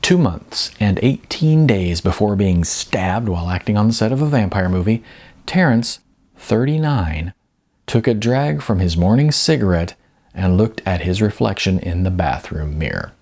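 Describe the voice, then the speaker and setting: A man's voice: lowish voice, expressive, moderate pace, a little mellow. A man, an author reading from his own novel in an audiobook narration style with casual asides.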